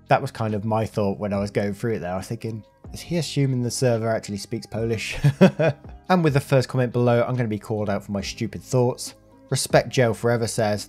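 Low-voiced man reading stories. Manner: plain, steady reading with brief laughs and chatty sign-offs. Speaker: Reddit story narrator. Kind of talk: reading posts aloud with casual asides.

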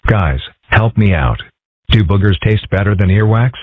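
A young cartoon animal boy voiced by a man, earnest and deadpan, with a surprisingly low, husky voice. The sound is close and dry but thin and phone-like. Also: normal speed, no echo. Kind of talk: scripted comic-strip dub. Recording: dry, muffled phone-like audio.

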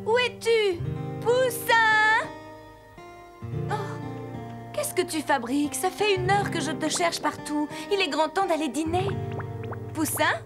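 A young cartoon princess speaks in a high, gentle voice. She sounds caring and concerned, with music clearly behind her. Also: slow, pauses often. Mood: worried. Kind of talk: animated cartoon dubbing.

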